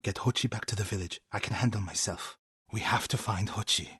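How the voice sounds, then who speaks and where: A man playing a devout, grieving warrior monk in a video game, earnest and intense, with a husky voice. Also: lowish voice, a little fast, a bit bright, no room sound, studio quality. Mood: grave, subdued. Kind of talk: dramatic video game acting.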